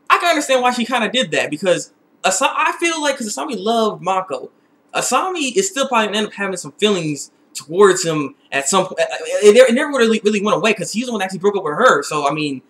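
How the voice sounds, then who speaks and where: A young man giving a casual, excitable episode recap, repeating phrases for emphasis. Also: high-pitched, fairly quick. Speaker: TV episode reviewer. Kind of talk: casual video recap and review.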